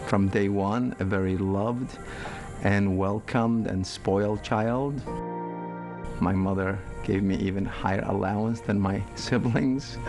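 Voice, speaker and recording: Low gravelly man from northern Iraq, measured testimony over music. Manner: reflective, measured storytelling. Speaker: testimony speaker. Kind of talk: personal testimony. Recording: music bed behind voice.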